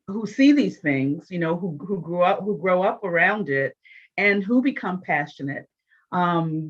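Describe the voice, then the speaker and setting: A woman moderating a panel, asking questions and often restarting them mid-sentence. Her voice sits on the lower side. The audio is dull and lo-fi, like a video call.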